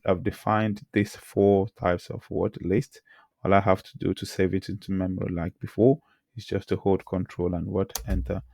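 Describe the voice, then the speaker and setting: A hoarse man who says he has lost his voice, teaching programming and talking through the code he types, often clearing his throat. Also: low voice, darkish tone, dry recording, studio quality. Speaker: programming tutor. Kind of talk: screen-recorded coding tutorial.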